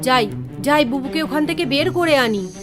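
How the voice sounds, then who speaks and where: A woman's voice: high-pitched, animated, normal speed, music in the background. A woman voicing a young village girl in a cartoon, a worried, scolding older sister. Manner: anxious, scolding, pleading. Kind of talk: cartoon dialogue acting.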